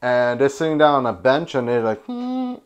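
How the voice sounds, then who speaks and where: A man's voice: medium pitch, sing-song, normal speed, clear, resonant tone, lo-fi sound. A middle-aged American man from New York City chatting casually, slangy and given to repeating himself. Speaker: guest. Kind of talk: casual chat. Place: small home office indoors. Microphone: clip-on lapel mic.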